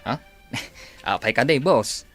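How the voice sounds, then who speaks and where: An actor playing a cheerful, teasing married sidekick to a boss in an Ilocano radio comedy drama. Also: male voice, mid-range voice, expressive, slow pace, faint music behind. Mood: teasing, jolly.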